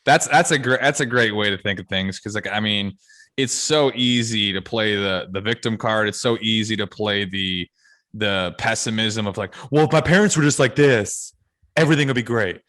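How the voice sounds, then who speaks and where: A man's voice: on the low side, moderate pace, a bit bright. A man of about thirty, a podcast host who chats casually and answers in an upbeat, affirming way. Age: 30s.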